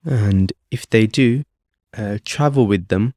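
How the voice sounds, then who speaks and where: A man calmly leading a guided meditation as host, speaking off the cuff in short phrases with long pauses. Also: lowish voice, no room sound, studio quality. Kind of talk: guided meditation, unscripted instruction.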